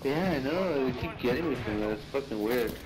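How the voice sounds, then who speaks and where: A man's voice: mid-range voice, animated, brisk, over loud music. A man chatting casually with teammates while gaming, joking crudely in slang and swearing freely. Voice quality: self-described big voice. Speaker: online shooter player chatting with teammates. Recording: very noisy game voice chat.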